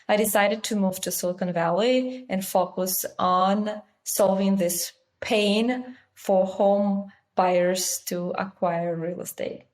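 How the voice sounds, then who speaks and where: A woman's voice: medium pitch, fairly even intonation, takes it slowly, hardly any pauses, darkish tone. Russian-accented woman explaining her business. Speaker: company founder, interview guest. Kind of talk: business interview.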